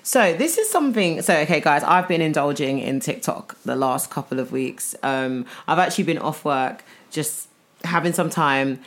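A young British woman co-hosting a podcast in casual, unfiltered chat, expressive and slangy. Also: sits low, moderate pace, slight background noise. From United Kingdom. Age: young adult. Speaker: podcast co-host.

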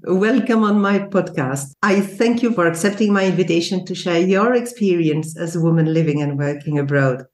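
A woman's voice: fairly low pitch, normal speed, few pauses, darkish tone. Podcast host woman, roomy sound. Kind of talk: scripted intro then interview. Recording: roomy echo.

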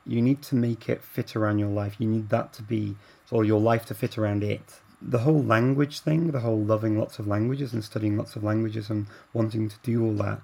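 A man, a guest telling stories in a relaxed conversation, getting animated during anecdotes. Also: lowish voice, vocal fry, breathy edge. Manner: animated when telling anecdotes, laughs while talking. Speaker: guest, language learner. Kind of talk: conversational storytelling.

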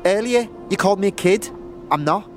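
A man's voice: sits high, fairly quick, music in the background, natural voice. A man playing a scrawny, nervous young slum dweller in an audio drama, stammering and wary, talking in street slang. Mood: cautious, defensive.

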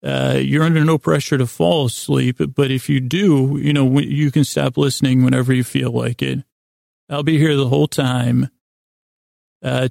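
A man with a heavily creaky, gravelly voice and a soft, dark tone hosts a bedtime podcast. He speaks in a narrow, even range, wandering and halting as he interrupts himself. The delivery is improvised and goofy. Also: mid-range voice, no room sound, clean studio sound. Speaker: podcast host.

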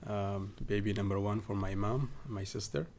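A man from southern Ethiopia, a guest in a podcast interview, talking casually with many pauses and fillers. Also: lowish voice, steady tone, darkish tone, a little hiss or hum. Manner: hesitant, many fillers and restarts.

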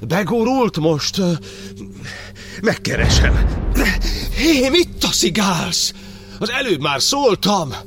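An actor playing an old, suffering cardinal in an audio drama, declaiming a brooding verse monologue in an anguished way. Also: male voice, sits high, sing-song, pauses often, over music. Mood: childlike, agitated. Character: imprisoned Hungarian cardinal, old, suffering, brooding over his martyrdom.

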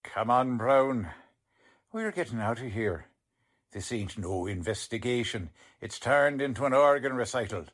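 Gruff impatient cop lieutenant, drawn out slangy talk, old radio play style. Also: male voice, mid-range voice, lively intonation, a little slow, fairly bright tone. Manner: slangy, ungrammatical tough talk. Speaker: police lieutenant. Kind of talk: 1940s radio drama acting.

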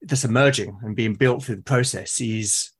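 A man's voice: mid-range voice, normal speed, slightly rough. A man from Britain chatting as a podcast guest, thoughtful and tentative, repeating words as he thinks. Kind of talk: casual reflective conversation.

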